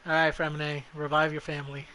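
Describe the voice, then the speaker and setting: Blunt higher-voiced man over noisy background. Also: monotone, a little fast, pushed and bright. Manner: blunt, foul-mouthed, mutters to self. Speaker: game streamer. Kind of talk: casual gameplay commentary.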